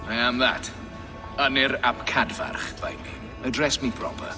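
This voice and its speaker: An actor playing a self-important, greedy royal advisor in a video game, pompous and sly. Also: male voice, mid-range voice, expressive, unhurried, lightly acted. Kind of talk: dramatic video game acting. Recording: long hall reverb, music underneath.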